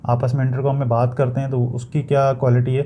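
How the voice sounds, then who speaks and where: A man's voice: medium pitch, completely flat delivery, fast talker, dark tone, a little hiss or hum. A man with a Pakistani accent giving a casual, explanatory product review, feeling for his words and sometimes repeating them, as in an unboxing video.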